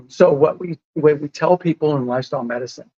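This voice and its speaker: A man's voice: on the high side, brisk, mellow, no echo, dull audio. A man in a casual podcast conversation, explaining whole foods in a patient way and laughing often. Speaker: lifestyle medicine advocate.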